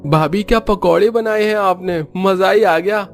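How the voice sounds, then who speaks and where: Man doing a high-pitched eager young brother-in-law. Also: moderate pace, faint music behind. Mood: delighted. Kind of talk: Hindi cartoon story dialogue.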